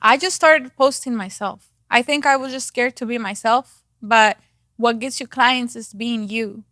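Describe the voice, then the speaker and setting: A woman's voice: on the high side, measured pace, bright, forceful tone, no room sound, studio quality. A woman from Mexico with a thick Mexican Spanish accent, talking candidly as a real estate agent guest, with fillers and restarts. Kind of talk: casual interview talk.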